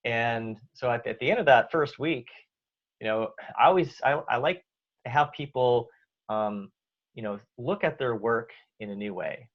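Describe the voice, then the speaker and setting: A man with a Canadian accent, a guest answering interview questions in a relaxed way, with many fillers and restarts. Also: mid-range voice, normal speed. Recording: dull lo-fi audio.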